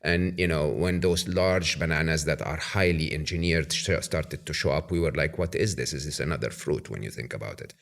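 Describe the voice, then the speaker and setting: A man's voice: a bass voice, fairly quick, creaky, few pauses, fairly bright tone. A man in his fifties from Egypt, hosting a podcast, who speaks thoughtfully with frequent hesitant restarts. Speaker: podcast host. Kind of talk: conversational interview. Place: bright room with plants. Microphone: podcast mic on an arm.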